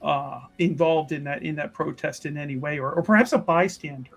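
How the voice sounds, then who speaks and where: A woman's voice: sits low, fairly quick. A woman playing a probing, flustered and overly polite church official in a tabletop roleplay, stammering and repeating phrases. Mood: flustered, inquisitive.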